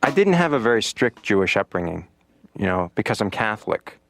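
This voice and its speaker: A deadpan cartoon patient tells his jokes in a low, flat, matter-of-fact voice. He pads his lines with "you know" and lets the punchlines drop quietly. The sound is dry and close. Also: fairly quick, no echo. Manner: deadpan stand-up delivery, many "you know"s. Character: comedian patient on the therapy couch. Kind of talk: stand-up in a cartoon.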